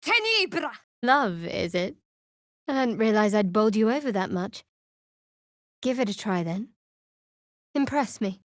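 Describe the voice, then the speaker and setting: A woman voicing a guarded, sardonic young cleric of a dark goddess in a video game, with a dry, measured, clipped delivery. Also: medium pitch, sing-song, no room sound. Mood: urgent, incanting. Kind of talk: video game voice acting, companion dialogue and battle barks.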